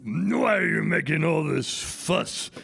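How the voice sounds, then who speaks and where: A man's voice: on the high side, animated, slow, vocal fry, a bit bright, slightly rough, exaggerated voice. A man playing a big, self-important freight engine in a children's audio theater show, dismissive and pompous. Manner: pompous, echoing own phrases.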